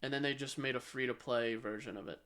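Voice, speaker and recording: Casual male podcast co-host, mid-pitched. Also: fast, fairly bright tone. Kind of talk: casual chat about video games.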